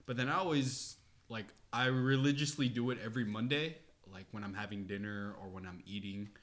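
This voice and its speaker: A man's voice: fairly low pitch, a little fast, fairly bright tone. A man chatting casually as a guest on a podcast. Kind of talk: casual chat. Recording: noticeable room noise behind voice.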